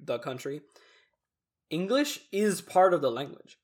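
A man's voice: on the high side, sing-song, unhurried, slightly rough. A young man in casual conversation, thoughtful, explaining his ideas at length. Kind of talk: casual chat.

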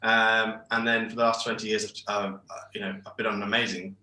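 A man's voice: lowish voice, flat delivery, brisk, pushed and bright. A man in his forties from England, a shirt designer talking as a guest over a video call in a rambling, hesitant way with many restarts. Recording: echoey room over internet call.

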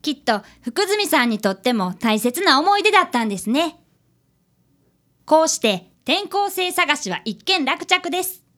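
Woman as an earnest, very high-pitched child detective. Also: sing-song, normal speed, a bit bright. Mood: cheerful. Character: earnest primary-school child apprentice detective, narrating the case. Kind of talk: scripted radio drama acting.

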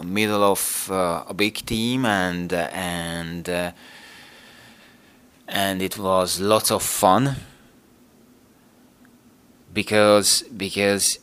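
A man's voice: sits low, pushed and bright, smooth. A man, an English speaker, answering interview questions hesitantly, with many fillers and restarts. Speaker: interview guest, team manager. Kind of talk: casual interview talk.

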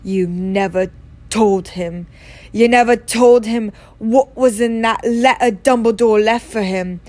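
A huge, gruff man from a storybook, played by a woman, booming and growling in blunt rustic speech. His temper is fierce, and the pitch rides high for such a giant. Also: very animated, a little slow, dry recording. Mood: furious.

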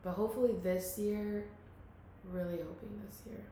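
A woman's voice: mid-range voice, steady tone, moderate pace, warm tone. A woman in her twenties from the Philippines, chatting casually as a guest and filling her talk with "like.". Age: 20s. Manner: chatty, many "like" fillers, laughs often. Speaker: guest, student and local model. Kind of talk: casual chat. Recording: very echoey room, faint hiss.

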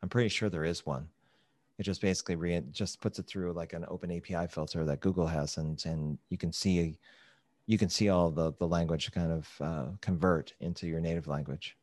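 A middle-aged man co-hosting a podcast, talking in casual chat with measured, thoughtful explanations. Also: low-pitched, fairly even intonation, a little mellow, no room sound, studio quality.

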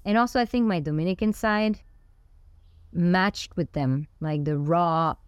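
Woman guest on a podcast, smooth lowish voice, laid back, lots of restarts, no room sound. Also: unhurried, no echo, highs cut off. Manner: relaxed and reflective, with frequent restarts. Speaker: guest musician. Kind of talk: casual interview.

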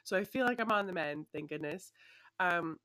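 Young woman rambling on her podcast, kinda sniffly, bright voice, dry close mic. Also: lowish voice, expressive, moderate pace, no echo. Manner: chatty and rambling, many fillers, laughs lightly. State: slightly sniffly from a cold. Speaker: podcast host. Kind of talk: casual solo podcast chat. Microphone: her own desktop microphone.

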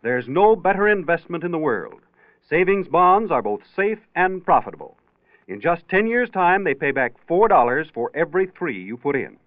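Casting: male American announcer, 1940s radio, highish declamatory voice with sweeping intonation. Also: big pitch swings, moderate pace, no room sound. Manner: emphatic, formal, declamatory. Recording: tinny vintage radio broadcast.

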